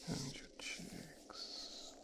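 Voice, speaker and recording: A man playing a mafia boss's son in an ASMR roleplay, softly and slowly examining his captive with gentle, clinical condescension in a breathy, whispery voice. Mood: possessive, gentle.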